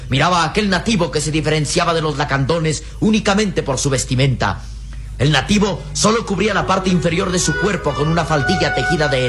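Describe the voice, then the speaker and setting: A man narrating a radio serial in a theatrical, suspenseful way. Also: a little high. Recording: old radio serial with music underneath.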